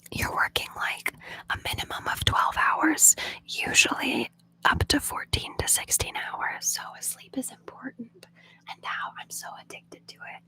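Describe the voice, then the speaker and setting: A young woman whispers the whole time as she hosts a live ASMR stream, recorded on her phone. Her whisper is soft and chatty, at an everyday middle pitch, and she answers viewers' questions with easy laughs. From Oklahoma. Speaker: ASMR channel host.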